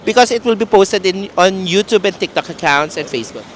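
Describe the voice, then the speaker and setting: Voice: mid-range voice, lively intonation, brisk. A playful host with a Filipino accent doing bawdy, teasing street interviews, breaking into giggles and exclamations.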